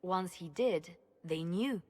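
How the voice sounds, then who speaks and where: An actress playing a shrewd, composed female spymaster in a video game, with a formal, measured, knowing delivery. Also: fairly low pitch, a little mellow. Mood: wry, knowing. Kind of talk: dramatic video game dialogue.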